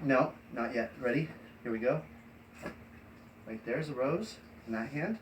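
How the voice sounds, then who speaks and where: A man chatting off the cuff on a livestream, rambling and halting in an eccentric way. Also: medium pitch, animated, slight background noise, rough recording. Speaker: live streamer and variety performer. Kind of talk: improvised livestream chat.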